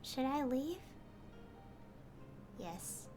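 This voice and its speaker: A woman streamer reading game dialogue aloud in a slow, soft, unhurried way. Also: sits high, very animated, faint music behind.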